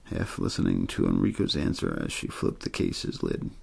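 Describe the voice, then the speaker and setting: A man reading a story aloud in a low-key, untheatrical ASMR style, with a gravelly, husky voice. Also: wildly swooping pitch, normal speed, darkish tone. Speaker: audiobook-style story reader. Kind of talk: ASMR bedtime reading of a horror novel.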